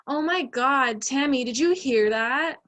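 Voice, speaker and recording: A woman's voice: high-pitched, unhurried, a bit bright. A woman in an amateur play acting a snooty teenage bully with a scornful, drawling valley-girl manner. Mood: scornful, gossipy.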